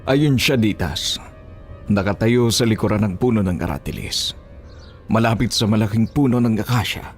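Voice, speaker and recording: A man's voice: fairly low pitch, moderate pace, soft background music, close to an everyday voice. A man playing an affable, confident monster slayer and blade seller in a horror audio drama. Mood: curious.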